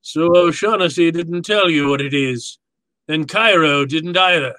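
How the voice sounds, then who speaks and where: An actor playing a jovial, courtly, calculating fat crime boss in a radio drama, speaking floridly and with relish. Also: male voice, on the high side, a little slow, bright, forceful tone, dry recording. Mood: probing. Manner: florid, pompous, relishing his words. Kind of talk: old-time radio drama acting.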